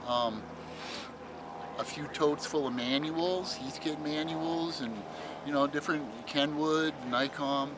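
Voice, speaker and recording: A man's voice: fairly high pitch, fairly even intonation, takes it slowly. Rambling older man over outdoor vehicle noise. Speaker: longtime radio swap meet vendor. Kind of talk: casual interview chat. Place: outdoors at a radio swap meet.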